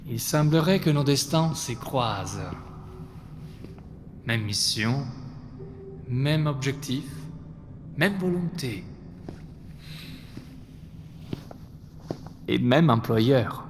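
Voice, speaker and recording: A man playing a menacing terrorist in a French audio drama, taunting his enemy in a deliberate, theatrical, mocking manner. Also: mid-range voice, expressive. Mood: cold menace. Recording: reverberant hall, faint background noise.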